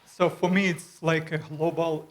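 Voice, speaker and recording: A man with a Ukrainian accent and a somewhat high voice answers panel questions on a conference stage. His English is careful and halting, full of pauses and fillers, with a shy, understated delivery. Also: level tone. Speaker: panelist, user group organizer. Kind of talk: live panel discussion. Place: conference stage before an audience.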